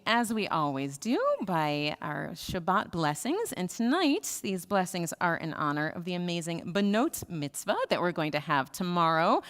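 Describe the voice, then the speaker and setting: A woman leading a synagogue service, welcoming people in a warm, chatty way and laughing easily. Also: medium pitch. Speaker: service leader. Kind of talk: welcoming a congregation. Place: synagogue sanctuary. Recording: hall reverb, live broadcast.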